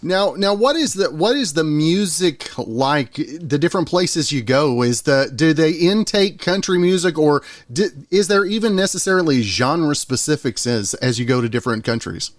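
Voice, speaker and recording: A man's voice: fairly high pitch, lively intonation, moderate pace, few pauses, no echo, studio quality. A man hosting a radio talk show, asking questions in a friendly, folksy way and often restarting his sentences. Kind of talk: casual radio interview.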